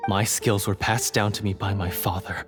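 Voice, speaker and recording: A man's voice: lowish voice, steady tone, normal speed, music in the background, close to an everyday voice. A man voicing a bitter, treacherous guard in an anime-style game, with a sneering, theatrical delivery. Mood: bitter, resentful. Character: bitter, scornful treacherous male guard and swordsman.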